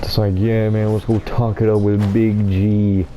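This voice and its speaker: A man's voice: on the low side, little pitch movement, unhurried, mellow, slight background noise. A man giving casual let's-play commentary, slangy and full of joking riffs. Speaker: gaming video host. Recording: dull lo-fi mic, game music behind.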